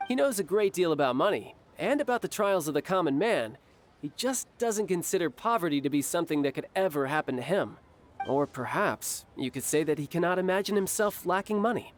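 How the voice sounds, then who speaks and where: A man's voice: on the high side, slight background noise, close to an everyday voice. A man voicing a wry, teasing young man in a game. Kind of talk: game voice acting.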